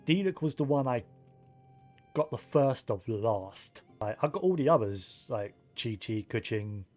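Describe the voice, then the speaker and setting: A man's voice: medium pitch, darkish tone. A man giving casual gameplay commentary as a streamer, rambling and thinking aloud in an understated way. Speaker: game streamer.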